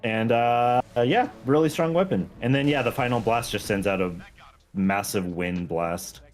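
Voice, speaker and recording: A man's voice: medium pitch, moderate pace. A man chatting casually as he plays a game, explaining things in a self-deprecating way. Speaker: game mod creator, guest. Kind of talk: casual chat while playing a game. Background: background music.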